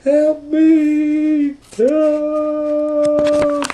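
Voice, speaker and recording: A man voicing a panicked mouse damsel in distress in a frantic, squeaky falsetto for a storybook. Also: completely flat delivery, very slow, noisy background, full character voice. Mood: panicked, pleading. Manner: shrill, frantic.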